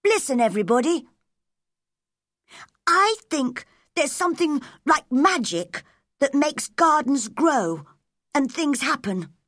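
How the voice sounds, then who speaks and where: An earnest young storybook boy, played by a woman narrator, speaks in a very high, swooping voice. He is excitable and hopeful, declaring things with wide-eyed fervour. The sound is close and dry. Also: takes it slowly, long pauses, no room sound, exaggerated voice. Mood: eager, earnest.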